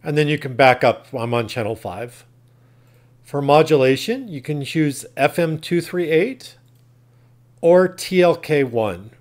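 A man's voice: a little high, unhurried. A man hosting an instructional tutorial, narrating step by step in a plain, methodical way. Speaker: tutorial host.

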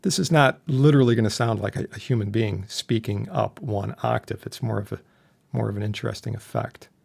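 Gravelly, husky man teaching casually. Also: lowish voice, fairly quick, darkish tone. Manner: informal, explanatory with homely analogies. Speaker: instructor demonstrating audio software. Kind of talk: casual software tutorial narration. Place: indoor voice-over for a screen recording.

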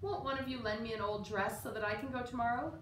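Lady playing a sweet wistful servant girl from a fairy tale, higher voice, echoey room. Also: fairly even intonation, quick pace, a bit bright, natural voice. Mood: pleading. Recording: roomy, distant mic, faint room noise.

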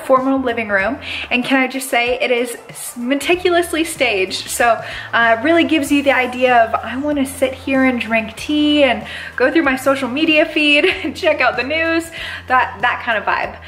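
A woman's voice: sits high, moderate pace, fairly bright tone, slight background noise. A young woman, a real estate agent, giving a bubbly, enthusiastic house tour from a camera held at arm's length. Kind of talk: upbeat house tour vlog. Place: inside a large empty house. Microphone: handheld camera at arm's length.

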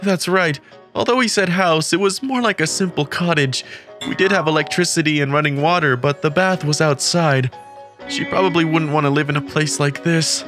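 A man's voice: fairly high pitch, normal speed, fairly bright tone, natural voice. A man playing an earnest, kindly teenage boy who narrates and speaks in an English-dubbed manga romcom. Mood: narrating. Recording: music behind the voice.

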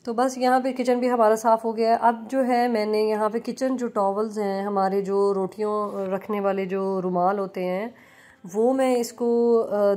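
A young adult woman chatting through a home cleaning vlog as a relaxed voice-over, full of fillers and restarts. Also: medium pitch. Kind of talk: casual voice-over narration. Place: home kitchen.

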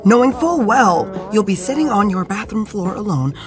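An actress playing a lonely, guarded young vampire hunter in an audio drama. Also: female voice, mid-range voice, lively intonation, normal speed, music in the background, natural voice. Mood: lonely, bitter.

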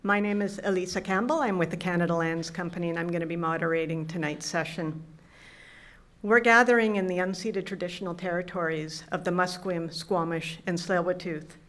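A woman's voice: on the low side, steady tone, a little fast, a bit bright. A middle-aged woman moderating an evening talk, giving a polished, welcoming introduction at a podium microphone on a stage. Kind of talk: formal event introduction. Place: stage before an audience. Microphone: podium mic.

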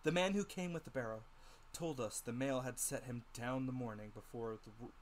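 A male narrator reads a storybook dramatically in a mid-pitched voice. There is clear noise behind him in the recording. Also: fairly quick.

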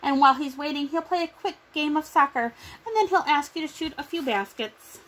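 A middle-aged woman with a high voice reads a children's story aloud. She is recorded in a small room at home, on a device at about arm's length. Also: fairly quick, a bit bright, rough recording. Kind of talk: picture book read-aloud.

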